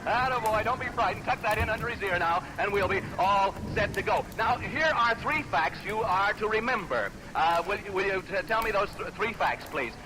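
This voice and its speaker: A man's voice: high-pitched, expressive, moderate pace, hardly any pauses, noisy background. An American man hosting a 1940s live radio quiz show with chatty, showman-like patter, on an old broadcast recording. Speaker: radio game show host.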